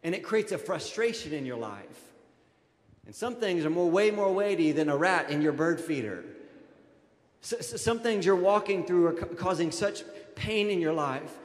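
A man in his thirties preaching a conversational sermon as a pastor, informal and animated, addressing his congregation directly into a handheld microphone. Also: high voice, moderate pace. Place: large auditorium stage, indoors. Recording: echoey hall with long reverb.

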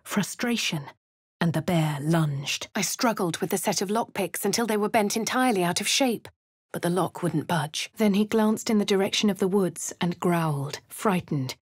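A woman with a posh English accent giving refined, measured first-person narration for a game character. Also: lowish voice, breathy edge, no room sound. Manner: refined, measured, clipped delivery. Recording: dry studio voice-over.

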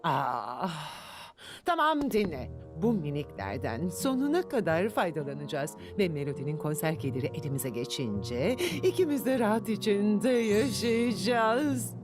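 A scheming grandmother villain from a children's cartoon, in a lowish voice that swoops widely. She is haughty and pompous, stretching her words theatrically. Music plays behind her. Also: sing-song, measured pace. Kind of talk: dubbed children's cartoon acting. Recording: music under the voice.

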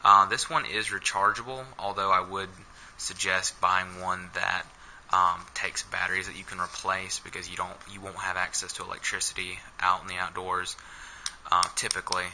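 A man's voice: low voice, little pitch movement, normal speed, creaky, pushed and bright, slight background noise, poor audio. A young man filming himself indoors with a head-mounted camera, casually walking through his camping gear with many ums and uhs. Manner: hesitant, many fillers. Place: small indoor room.

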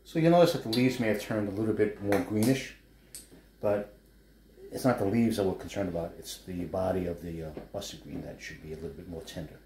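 A man's voice: sits low, a little fast, darkish tone, a little hiss or hum. A man in his fifties from New York with a New York accent, chatting casually about his cooking and his small business as he stirs a wok at home. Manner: chatty, rambling asides while stirring a wok. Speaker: chef and food blogger. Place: home living room kitchen setup, indoors. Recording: roomy home sound.

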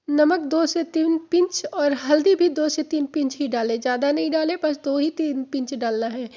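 A woman with a high-pitched, mellow voice talks viewers through a home recipe, patiently repeating each step. Her throat sounds slightly hoarse. The audio is dull and lo-fi, as if from a phone held over a kitchen counter. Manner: friendly, instructive, repeats steps. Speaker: home cooking channel host. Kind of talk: step-by-step cooking tutorial.

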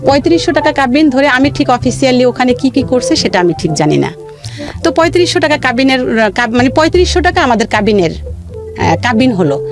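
A woman with a Bangladeshi accent telling her own life story in an interview, in a halting, detailed way with repeated phrases. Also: high voice, lively intonation. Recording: music playing behind the voice. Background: background music.

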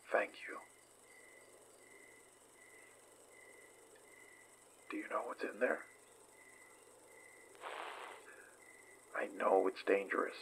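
An actor in a horror audio drama playing a gentle, determined man who speaks in a hushed, halting, soothing way with a breathy, rough edge. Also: on the low side, heavily acted. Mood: hushed, wary. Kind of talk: audio drama acting, horror fiction podcast.

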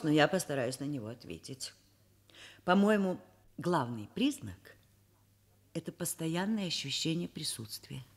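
A woman's voice: low-pitched, darkish tone, husky, full character voice. An actress playing a kindly, wry, educated woman professor in a Soviet radio drama, speaking thoughtfully and expressively. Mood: gentle, thoughtful. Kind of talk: Soviet radio drama acting, first-person narration and dialogue.

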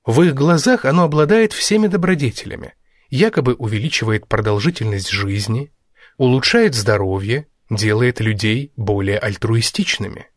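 A man narrating a nonfiction audiobook in measured, deliberate phrases with pauses. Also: medium pitch, dry recording, clean studio sound. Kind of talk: scripted narration of a nonfiction book.

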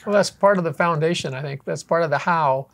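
Male host, 70s, slightly high-pitched, anecdotal and rambling, conversational panel delivery. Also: brisk, creaky, fairly bright tone. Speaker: roundtable host. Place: drum studio, indoors. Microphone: lavalier mic.

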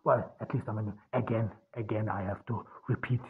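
Husky man, halting monologue on tinny line. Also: lowish voice, steady tone. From Austria. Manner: halting, many restarts and fillers. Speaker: podcast host. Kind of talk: solo spoken monologue.

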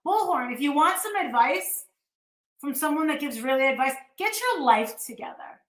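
High, bright, blunt American woman. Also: expressive, normal speed, roomy sound. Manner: blunt, scornful, sweary. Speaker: YouTube host. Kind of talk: casual ranting livestream commentary. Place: living room at home, indoors.

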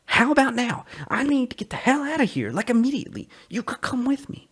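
A man's voice: very high voice, big pitch swings, fairly quick, fairly bright tone, slightly rough, pitched above the performer's own voice, heavily acted. A man voicing a desperate fugitive who pleads with raised, strained urgency. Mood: urgent.

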